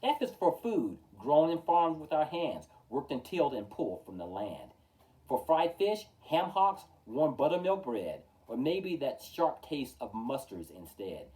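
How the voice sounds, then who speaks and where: A man hosting a storytime, reading a rhyming children's book aloud in a theatrical, measured way, with emphatic openings and falling line endings. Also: fairly high pitch, very animated, warm tone, far from the mic, rough recording. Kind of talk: expressive children's picture-book read-aloud in rhyme.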